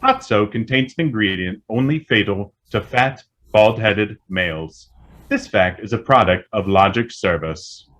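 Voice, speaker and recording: A man's voice: fairly low pitch, a little slow, a bit bright, a little hiss or hum. A man voicing an impassive, literal-minded computer in a radio drama, formal, clipped and announcer-like. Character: impassive all-knowing talking computer, helpful, literal-minded.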